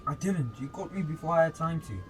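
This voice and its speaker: A man's voice: a little high, quick pace, husky. A young man acting a pleading, apologetic civilian held by robbers in an amateur radio drama. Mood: scared, pleading.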